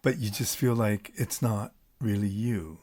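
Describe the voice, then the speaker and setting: Podcast host guy, lowish voice, short questions, listens a lot. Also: a little slow, darkish tone. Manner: attentive, brief interjections and follow-up questions. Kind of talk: interview questions.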